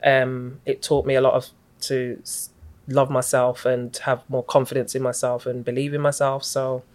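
A middle-aged woman, a guest in an interview, recounting her story in a reflective, even-toned, unhurried way. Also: extremely low pitch. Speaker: guest, community activist.

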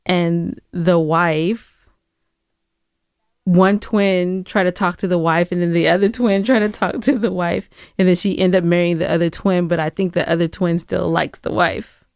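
Female podcast co-host, smooth low-leaning voice, casual and conversational. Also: fairly even intonation, measured pace, darkish tone, dry recording, studio quality. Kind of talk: casual advice podcast chat.

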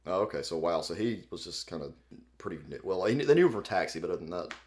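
Low-voiced man, wry podcast co-host. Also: expressive, quick pace, darkish tone. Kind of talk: casual comedic fan discussion.

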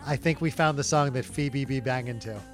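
A man's voice: mid-range voice, a little fast. A man bantering informally and jokingly as co-host of a music podcast. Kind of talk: casual banter. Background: pop-rock songs playing.

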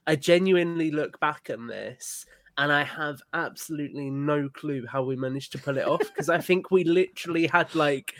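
A man's voice: on the high side, moderate pace, a bit bright, no room sound. A man chatting casually and earnestly as a podcast guest, effusive in how he talks.

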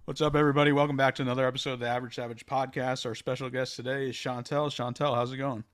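A man hosting a podcast, asking a guest questions in a relaxed, casual way. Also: mid-range voice, very fast. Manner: relaxed, asks short questions. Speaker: podcast host. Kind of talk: casual interview chat.

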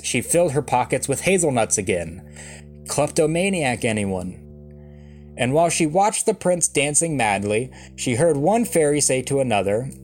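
A male comedian narrates a fairy tale with very lively, swinging intonation. He slips in wry, dry asides as he reads. Soft plucked guitar plays behind his mid-pitched voice. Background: plucked guitar music.

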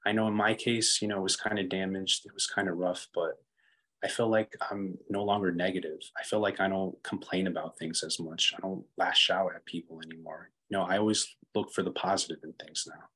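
A man who is a guest on a podcast, with a low voice. He speaks slowly in an earnest, encouraging way. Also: fairly even intonation, fairly bright tone, poor audio. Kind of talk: candid podcast conversation.

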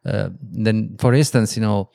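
A man with an Italian accent talks in a fairly low voice, rambling along in relaxed, loose sentences. The recording is dry and close, with no room sound. He sounds like a friend chatting on a podcast. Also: unhurried, creaky, no room sound. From Italy. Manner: loose, rambling sentences full of "you know". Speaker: guest interviewer. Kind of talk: casual conversation.

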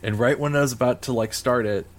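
A man from Missouri co-hosting a podcast in casual chat, talking in a fairly flat, monotone way with lots of "like". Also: mid-range voice, fast. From Missouri, USA. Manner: monotone, heavy use of "like". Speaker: podcast co-host.

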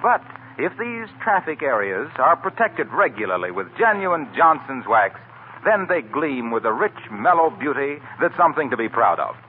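A man's voice: medium pitch, very animated, normal speed. A man announcing in 1940s radio style, delivering sponsor messages with polished, emphatic salesmanship. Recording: hissy, narrow-band 1940s radio recording.

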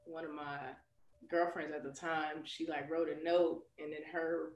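Woman guest from Chicago, quick to laugh, mid pitch, echoey room. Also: little pitch movement, highs cut off. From Chicago, USA. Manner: laughs easily, rushes ahead in bursts when telling stories. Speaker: podcast guest. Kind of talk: casual interview chat.

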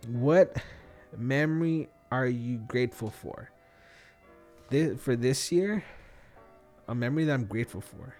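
A man's voice: medium pitch, animated, measured pace, pauses often, darkish tone, soft background music. A man in his thirties chatting casually and solo as a podcast host, thinking aloud in a rambling, slangy way. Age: 30s. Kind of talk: casual solo podcast chat, answering questions.

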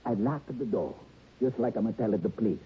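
An actor playing an emotional Italian immigrant violin repairman in an old radio drama, with a strong Italian accent and halting, exclamatory broken English. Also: lowish voice, lively intonation, breathy edge. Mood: distressed. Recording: muffled, noisy old radio recording.

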